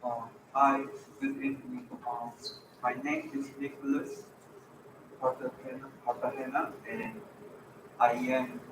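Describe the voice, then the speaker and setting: Teenage boy from Peru, native Spanish speaker, hesitant and slow, noisy echoey hall. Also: mid-range voice, big pitch swings. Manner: slow and hesitant, many fillers. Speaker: student speaker. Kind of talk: prepared remarks at a public meeting. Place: school board meeting room. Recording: echoey meeting room, distant mic, background noise.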